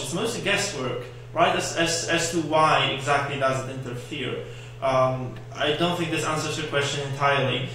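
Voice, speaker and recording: A man's voice: fairly high pitch, bright, forceful tone. A man presenting research at a conference, answering audience questions hesitantly with many restarts. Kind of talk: academic Q&A discussion. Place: conference lecture room. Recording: echoey hall with faint noise.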